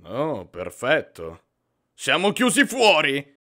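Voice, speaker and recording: A man's voice: fairly high pitch, huge pitch swings, slow pace, pushed and bright, exaggerated voice. A man voicing an irritable, sarcastic teenage schoolboy with a sneering delivery in a cartoon dub. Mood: dry, irritated. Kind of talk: Italian fan cartoon dub.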